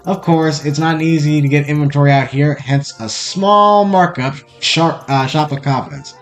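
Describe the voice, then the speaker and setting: A man putting on a shifty robot shopkeeper who keeps insisting he is sane, in a wheedling sales pitch. The voice sits fairly high, with soft music behind it. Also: normal speed, natural voice.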